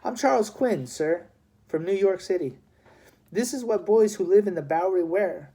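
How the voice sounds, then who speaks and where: A man reads as a plucky city boy who has run away from home in a historical storybook. He sounds earnest, polite and anxious to please, with a mellow tone. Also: high-pitched, lively intonation, moderate pace, pitched above the performer's own voice.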